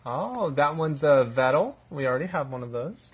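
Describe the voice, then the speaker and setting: A father in casual family chat at home, calmly reading out card names to his son. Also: fairly high pitch, normal speed. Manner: calm, reads out card names. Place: living room at home. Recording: loud background noise, home phone video.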